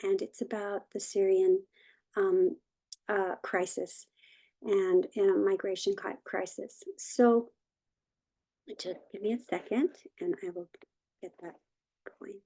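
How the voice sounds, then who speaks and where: A woman presenting casually in an online meeting. Her voice is soft-edged and mellow, and she speaks slowly, with a hesitant, halting delivery. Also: medium pitch, fairly even intonation, rough recording. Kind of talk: casual introduction on a video call.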